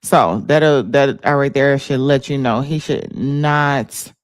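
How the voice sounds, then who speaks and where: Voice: mid-range voice, takes it slowly, no room sound. An American livestream host chatting casually about politics in an informal, conversational way.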